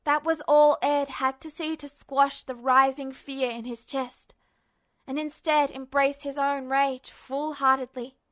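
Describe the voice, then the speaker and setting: Female audio-fiction narrator, high-pitched and bright, dramatic scripted delivery. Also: fairly even intonation, a little slow. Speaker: podfic narrator.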